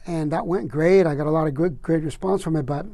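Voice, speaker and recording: A man's voice: on the high side, a little fast, mellow. A middle-aged man from Cuba, a poet guest, answering questions in a wry, joking, easygoing way. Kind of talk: interview answers.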